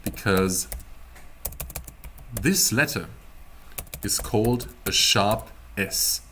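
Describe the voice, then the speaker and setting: A man giving a casual live-streamed language lesson as a teacher, explaining word by word in a halting, deliberate way. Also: fairly low pitch, sharp tone, a little hiss or hum. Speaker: German teacher.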